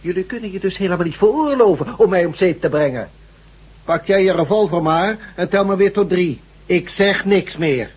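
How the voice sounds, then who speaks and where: A man's voice: high voice, normal speed, slightly rough. A man playing a defiant, smug hostage in a Dutch radio drama, sneering and grudging as he speaks. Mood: mocking defiance. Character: defiant, smug male captive held hostage. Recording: dull, muffled radio play recording.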